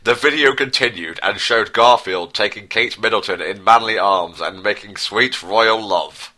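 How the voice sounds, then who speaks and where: Male narrator, slightly low, intensely bright tone, pompous mock-dramatic reading. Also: moderate pace. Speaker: narrator, co-host.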